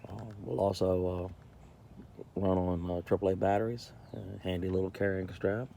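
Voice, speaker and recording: A man giving a casual, plain-spoken product review as a camping vlogger, halting and repeating himself for emphasis. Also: sits low, creaky. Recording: steady background noise.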